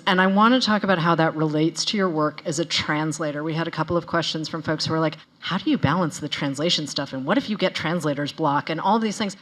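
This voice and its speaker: A woman's voice: sits low, a bit bright. A woman hosting an interview, asking questions in a chatty, playful way. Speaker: interviewer, host.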